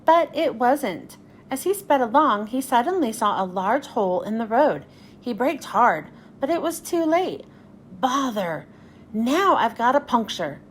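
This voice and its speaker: Lively, high-voiced woman reading a storybook. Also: measured pace, slight background noise. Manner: expressive storytelling with voiced dialogue. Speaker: children's read-aloud host. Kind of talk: storybook reading aloud for children.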